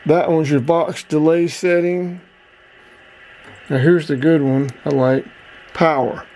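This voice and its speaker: Male reviewer, slightly high-pitched, casual and plain-spoken hands-on host. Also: dark tone, rough recording.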